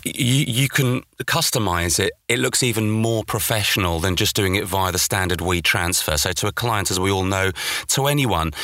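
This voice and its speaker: A man's voice: lowish voice, sharp tone, dry recording, clean studio sound. A middle-aged British man giving conversational, emphatic interview answers and repeating words to make his point. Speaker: interview guest, audio journalist and voice-over artist.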